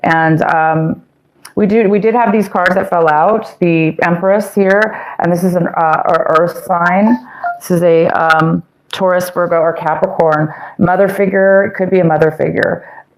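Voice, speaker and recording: Rambling tarot-reading woman, lowish voice. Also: steady tone, unhurried, rough recording. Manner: meandering, many fillers and self-corrections. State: losing her voice. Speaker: tarot reader. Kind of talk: casual tarot reading to viewers.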